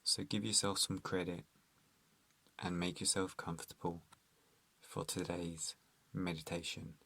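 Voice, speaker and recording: A man narrating a guided meditation in a calm, soothing, instructional manner, leaving long pauses between phrases. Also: low voice, creaky.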